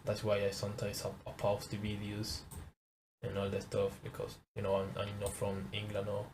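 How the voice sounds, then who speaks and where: Young Venezuelan man, Spanish-accented English, low flat delivery, hesitant, faint noise. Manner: halting, repeats words, searches for English. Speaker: trading course teacher. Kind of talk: casual screen-share lesson.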